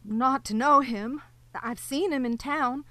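An actress playing a timid, gentle sheriff's wife in a live audio drama, with hesitant period rural speech. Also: female voice, sits high, slow, dry recording. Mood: mild. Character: timid sheriff's wife, young woman, soft. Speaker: Mrs Peters. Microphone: home microphone in a closet.

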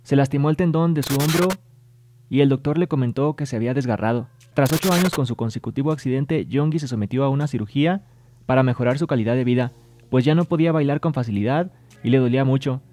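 A man's voice: mid-range voice, fast talker, mellow, slight background noise. A man hosting a scripted entertainment news show, reading reports and translated quotes in an upbeat announcer manner.

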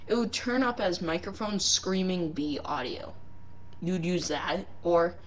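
A woman casually demonstrating software at home, unscripted and hesitant, thinking aloud as she goes. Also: lowish voice, lively intonation, a little slow. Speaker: software reviewer. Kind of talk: casual unscripted screen-recording demo. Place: living room at home. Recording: home mic with clear background noise.

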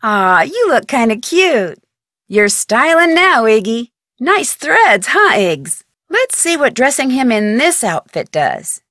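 A woman voicing a plucky, curious young detective in a video game, with an American accent and perky, clearly enunciated, expressive delivery. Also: a little high, takes it slowly, no echo, heavily acted. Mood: playful, amused. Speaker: game character and tutorial guide.

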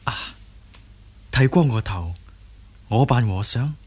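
Husky, earnest young hero. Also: male voice, medium pitch, lively intonation, slow pace, darkish tone. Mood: earnest, playful. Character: earnest, forthright young martial-arts hero man. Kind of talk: Cantonese radio drama acting.

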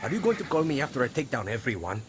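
A man's voice: mid-range voice, big pitch swings, racing, background noise, lightly acted. An actor playing a blunt male guerrilla fighter out for revenge, who swears casually, in a video game.

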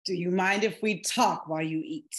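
A woman playing a patient, gently probing wife in her forties in a screenplay table read, measured and insistent. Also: lowish voice, close to an everyday voice. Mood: patient, concerned. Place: indoor room on a video call. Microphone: video call microphone.

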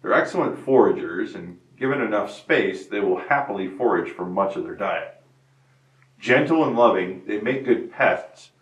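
A man in his fifties with a somewhat low voice reads a scripted breed profile in a steady, informative manner. He speaks from a studio set into a lapel mic, and the recording carries a roomy echo that makes him sound a little distant from the mic. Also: lo-fi sound. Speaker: host of a hobby farm channel. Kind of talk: scripted breed spotlight narration. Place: indoor studio set before a wooden barn-wall backdrop. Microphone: lapel mic, medium camera framing.

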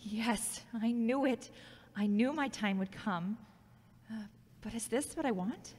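A woman playing a confident, ambitious radio journalist with a teasing, warm manner in an audio drama. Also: a little high, a little slow. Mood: excited. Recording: echoey hall-like reverb.

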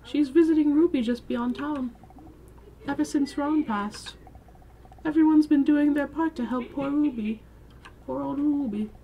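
A woman's voice: high voice, measured pace, mellow, slight background noise, low-quality recording. A woman voicing a sweet, motherly village gossip in a put-on accent between German and Irish. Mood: concerned, reassuring. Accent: German-turned-Irish put-on.

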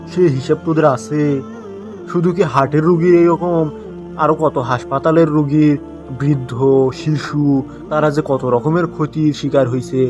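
A man's voice: a little high, close to an everyday voice. A man with a Bogura accent from northern Bangladesh playing a sarcastic, moralizing schoolteacher in a comic cartoon, full of mocking rhetorical questions. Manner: mock agreement, rhetorical questions, preachy. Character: sarcastic, moralizing male schoolteacher scolding his pupil. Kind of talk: comic cartoon dialogue turning into sermon.

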